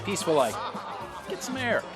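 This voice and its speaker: A man's voice: high-pitched, big pitch swings, normal speed. An actor playing a placating but firm nightclub waiter in a radio drama, coaxing as he talks. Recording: music and crowd behind.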